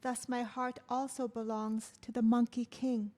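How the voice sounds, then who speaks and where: A woman's voice: on the high side, level tone, a little slow, darkish tone, lightly acted. A woman reading a torn, poetic queen. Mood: solemn. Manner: recites lines like a poem. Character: conflicted queen, adult woman, torn between two loves. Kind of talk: table read of a stage play.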